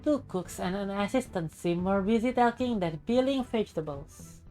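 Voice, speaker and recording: A woman streamer narrating a visual novel aloud in a deliberate, expressive storybook style. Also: mid-range voice. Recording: music behind the voice. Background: game music.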